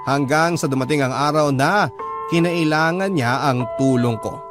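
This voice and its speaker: Casting: earnest young Filipino storyteller acted by a man, confiding radio-letter narration, fairly high voice over clear background music. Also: moderate pace, close to an everyday voice. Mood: earnest, reflective. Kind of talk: dramatised first-person letter narration.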